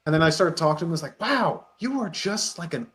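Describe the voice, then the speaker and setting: A man talking casually as an interview guest, telling anecdotes in an animated way and acting out remarks. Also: fairly high pitch, quick pace.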